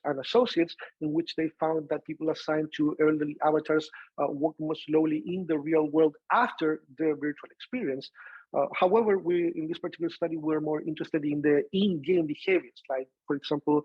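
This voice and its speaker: A man with a Chilean accent giving an academic seminar talk over a video call, often pausing on "uh" and restarting phrases. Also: fairly high pitch, slightly rough, dry recording. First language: Spanish. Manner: frequent "uh" fillers and restarts. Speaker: professor presenting research. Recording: dull lo-fi video call audio.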